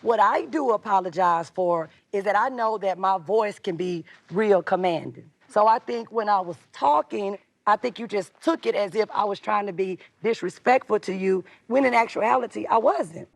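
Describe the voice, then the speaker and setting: A woman on a reality show reunion, defensive and quick to cut in. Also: medium pitch, creaky, no room sound. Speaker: reality show cast member. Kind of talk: arguing at a televised reunion.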